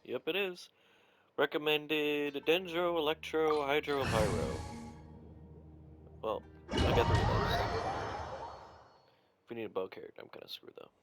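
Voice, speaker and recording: Man muttering over loud game music. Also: fairly high pitch, measured pace, long pauses. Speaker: gaming video creator playing through a game. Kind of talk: casual gameplay commentary. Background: loud video game music.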